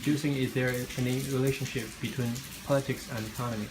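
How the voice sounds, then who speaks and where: A man's voice: mid-range voice, steady tone, quick pace. A young man, a student, interviewing a classmate and reading his questions in a hesitant way, with many fillers and restarts. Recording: noisy with frying sizzle behind.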